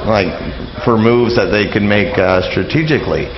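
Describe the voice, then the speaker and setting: A man's voice: lowish voice, moderate pace. A man talking casually as an interview guest, hesitant and rambling. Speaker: interview guest, old-time radio enthusiast. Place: live radio lounge with people coming and going. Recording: muffled, echoey room with busy crowd.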